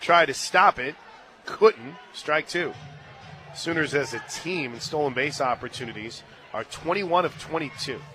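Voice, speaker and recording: A man calling a live softball game on radio, play by play, brisk and rising to excited shouts on big plays. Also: a little high, rough recording. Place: stadium radio booth.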